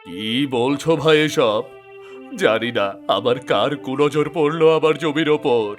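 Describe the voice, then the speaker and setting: A man voicing an earnest male village farmer in an animated folk tale. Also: medium pitch, measured pace, faint music behind, lightly acted.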